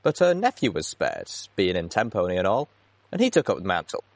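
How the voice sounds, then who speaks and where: A man's voice: low voice, wildly swooping pitch, fairly quick, dry recording, full character voice. A man voicing opinionated tavern ponies trading gossip and squabbling in a story. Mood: eager bickering.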